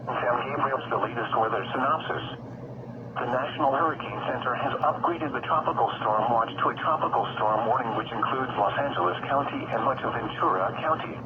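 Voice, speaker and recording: A man's voice: mid-range voice, a little fast. A synthetic male voice reading a scripted weather bulletin in a formal, impersonal way as an automated radio announcer. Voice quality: synthetic text-to-speech voice. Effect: radio broadcast. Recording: very noisy, dull weather radio broadcast.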